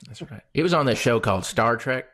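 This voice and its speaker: A man's voice: medium pitch, animated, a little fast, fairly bright tone. A man co-hosting a podcast, chatting casually and tossing in wisecracks. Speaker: podcast host. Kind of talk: casual chat.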